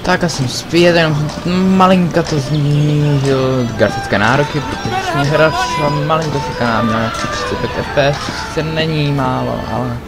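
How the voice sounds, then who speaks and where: A man's voice: fairly high pitch, fairly quick, few pauses. A man giving casual gameplay commentary as a let's play host. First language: Czech. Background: loud game audio and music.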